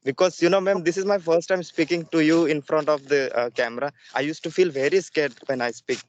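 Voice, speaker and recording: A man's voice: on the high side, fairly even intonation, a little fast, a little hiss or hum. A young man from Jharkhand whose first language is Santhali, a student practicing English on a video call, speaking hesitantly with many fillers and a polite "ma'am". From Jharkhand, India. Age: young adult.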